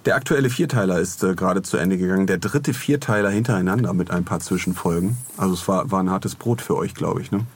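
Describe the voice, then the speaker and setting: A man's voice: sits low, fast talker, few pauses, slightly rough. A man co-hosting a podcast in casual, joking conversation. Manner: joking, bantering. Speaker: podcast co-host.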